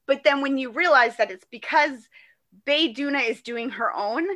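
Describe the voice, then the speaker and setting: A woman's voice: sits high, normal speed, fairly bright tone. A woman co-hosting a podcast, explaining things in a rambling, casual way. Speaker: podcast co-host.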